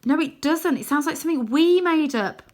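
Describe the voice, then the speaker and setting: A woman's voice: high-pitched, expressive, moderate pace, pitched above the performer's own voice. A woman voicing an earnest young boy hero in a storybook, urgent and pleading.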